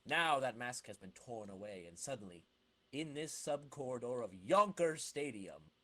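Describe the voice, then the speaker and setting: A man's voice: mid-range voice, expressive, moderate pace, bright, forceful tone. A man co-hosting a podcast, doing amateur dramatic narration and chat. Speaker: podcast co-host reading comic narration.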